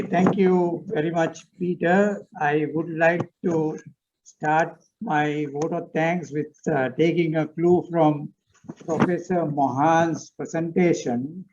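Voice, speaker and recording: A man giving a formal vote of thanks on a video call, slow and halting with many fillers. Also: fairly high pitch, a little mellow, slight background noise. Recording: dull lo-fi video call audio.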